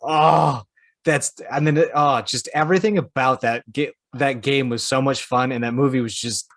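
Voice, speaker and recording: Dude chatting on a podcast, mid pitch, emphatic and slangy, no room sound. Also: male voice, fairly even intonation, normal speed, fairly bright tone, dry recording, clean studio sound. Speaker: podcast co-host. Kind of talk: casual chat about movies and games.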